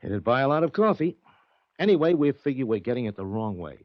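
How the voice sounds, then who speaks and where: A man's voice: mid-range voice, big pitch swings, fairly quick, no echo, close to an everyday voice. A man playing a worried, tough, streetwise betting agent in a 1940s radio drama, talking in slang. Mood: worried, frustrated. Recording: dry, muffled vintage radio recording.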